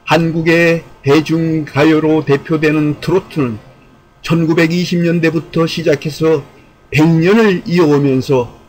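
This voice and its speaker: A man with a somewhat high voice reads a prepared commentary. His delivery is formal and earnest, like an essay read aloud, with an exhorting manner and the occasional repeated phrase. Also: a little mellow, poor audio. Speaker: online channel host and commentator.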